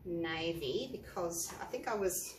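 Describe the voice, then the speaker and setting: An Australian woman, an art teacher, chatting step by step through a painting tutorial with a croaky voice from the flu. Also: fairly low pitch, measured pace. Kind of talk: tutorial narration. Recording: echoey room, faint noise.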